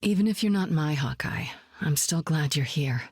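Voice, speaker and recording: A seasoned woman superspy with a cool, wry, self-assured delivery. Her voice sits a little low and is recorded close and dry, with no room around it. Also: animated, normal speed, darkish tone, slightly rough, no room sound. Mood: warm, amused. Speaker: game hero. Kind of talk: video game voice lines.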